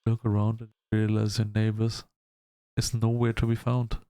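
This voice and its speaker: A man hosting a gaming video, reading story text aloud in a halting, measured storytelling delivery. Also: fairly low pitch, completely flat delivery, mellow, clean tone, no echo. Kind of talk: let's-play narration, reading game text aloud.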